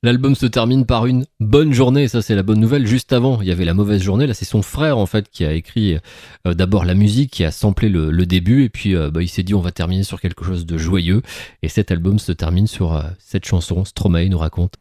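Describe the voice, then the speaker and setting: Deep, mellow male radio presenter. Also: brisk, dry recording, clean studio sound. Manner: addresses listeners directly, explanatory. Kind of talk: conversational radio presentation linking interview clips.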